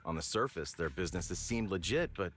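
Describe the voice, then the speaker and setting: A man's voice: deep voice, expressive, fairly quick, a bit bright. An actor voicing a terse, guarded male gang member in a video game, curt and dismissive.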